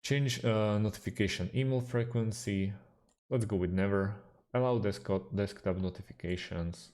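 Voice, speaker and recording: A man whose first language is Slovak, calmly walking through a software tutorial with frequent fillers. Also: lowish voice. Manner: unhurried, hesitant with fillers, slightly non-native phrasing. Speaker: tutorial host. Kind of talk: screen-recorded software tutorial.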